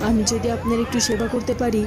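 A woman's voice: a little high, flat delivery, fast, over music, a crowd in the background, close to an everyday voice. A woman voicing a neglected, scheming queen in a fairy-tale cartoon, full of reproach. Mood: calculating, deferential.

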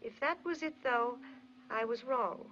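Probing worldly wife, kinda high voice, very deliberate and pointed, dull old 50s movie audio. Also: female voice, a bit bright, slight background noise. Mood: bitter. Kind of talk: 1950s film drama acting. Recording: dull, lo-fi 1950s film soundtrack.

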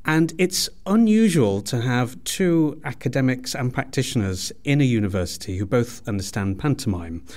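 A man's voice: medium pitch, lively intonation, moderate pace, few pauses, a little mellow. A man speaking as an academic guest in an interview, giving explanatory answers. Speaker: university head of department, interview guest.

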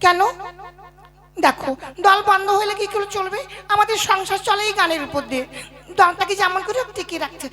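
A woman playing a nagging but soft-hearted ageing wife in a comic puppet play, plaintive and scolding. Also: extremely high pitch, brisk. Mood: expectant.

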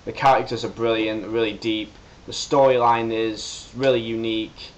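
A man's voice: fairly low pitch, little pitch movement, normal speed, poor audio. A young man talking eagerly on a webcam about his favorites, thinking aloud as he lists them. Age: young adult. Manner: enthusiastic listing, thinking aloud. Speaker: fan voice actor. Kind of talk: casual webcam interview answer. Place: living room indoors.

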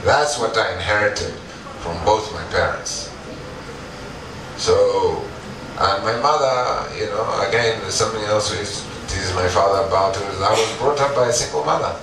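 A middle-aged man, an entrepreneur, giving an earnest, emphatic stage talk with pauses and restarts on a headset mic. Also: lowish voice. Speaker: entrepreneur guest speaker. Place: event stage with audience. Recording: echoey room, distant, background noise.

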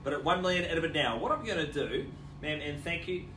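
A man's voice: fairly high pitch, quick pace, pushed and bright, slight background noise. A middle-aged man with a New Zealand accent working as an auctioneer, calling bids at a live property auction with joking, showy patter and rhythmic bid chants. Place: large indoor auction room. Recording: roomy sound from a distant camera.

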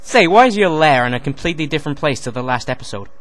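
A man's voice: fairly high pitch, expressive, fast, fairly bright tone. A man voicing a flippant young hero in a casual amateur cartoon parody, in an everyday voice.

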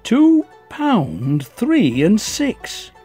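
A mild husband played by a man in a radio play, placid and matter-of-fact. He talks slowly with a lively, swooping pitch. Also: slightly rough, faint music behind, heavily acted.

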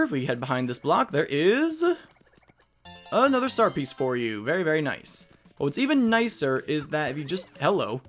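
A man's voice: on the high side, a bit bright. A man giving casual, chatty let's play commentary with slangy enthusiasm. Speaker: gaming video host.

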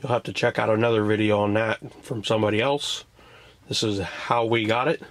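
A man's voice: on the low side, steady tone, brisk, no room sound. A man hosting a casual hands-on how-to vlog, explaining in short plain steps into a handheld camera. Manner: plainspoken, short step-by-step phrases. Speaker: DIY vlog host. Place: outdoors in a yard and in a parked vehicle. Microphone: handheld camera at arm's length. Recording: clear ambient noise from handheld camera.

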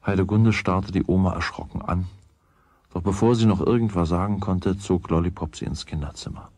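A man's voice: low-pitched, steady tone, fairly quick, darkish tone, dry recording. A man narrating a children's radio play as its scripted storyteller. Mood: measured.

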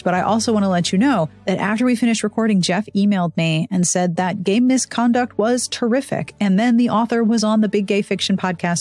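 A woman's voice: medium pitch, darkish tone. A woman hosting a podcast in a casual, conversational style. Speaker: podcast host.

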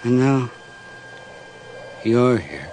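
A very slow, dazed dead man. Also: mid-range voice, sing-song. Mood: haunted, resigned. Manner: halting, fragmented speech. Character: dazed dead man walking, grim and halting. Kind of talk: radio horror drama acting. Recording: noisy 1980s radio recording.

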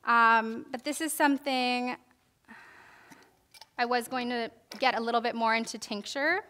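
Young woman, bright clear voice, slightly high, casual lecturing with ums and restarts, church hall. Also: fairly even intonation, unhurried. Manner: reads from notes, then demonstrates with frequent fillers and restarts. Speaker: herbalism class presenter. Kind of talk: informal lecture and demonstration. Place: church hall stage, large indoor room.

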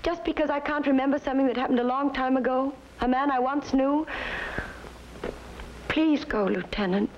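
A woman's voice: high-pitched, moderate pace, lightly acted. An actress playing a young, evasive married woman under questioning in a 1950s TV crime drama. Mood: evasive, uneasy. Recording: dull vintage TV soundtrack, faint noise.

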